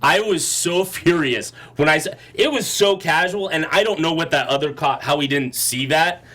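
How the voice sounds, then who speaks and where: A man's voice: fairly high pitch, normal speed, a bit bright. A man co-hosting a political panel discussion, talking in a heated, passionate way and raising his voice. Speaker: co-host.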